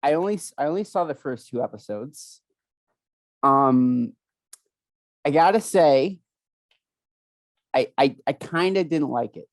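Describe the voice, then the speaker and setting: A young man co-hosting a casual chat, rambling and halting with many restarts, still sniffly from a cold. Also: medium pitch, expressive, no room sound, studio quality. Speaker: podcast co-host.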